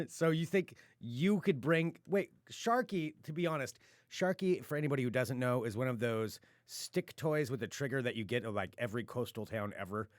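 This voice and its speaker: A man's voice: medium pitch, normal speed, dry recording, studio quality. A young man hosting a casual podcast, teasing his co-host and laughing often. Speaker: radio show host.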